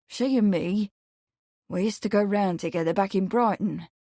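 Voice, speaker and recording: An awkward but earnest young manservant, read by a woman narrator in a voice close to her own. He speaks firmly and emphatically in rough, ungrammatical working speech. The recording is dry and close. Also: medium pitch, normal speed, mellow, no room sound, lightly acted.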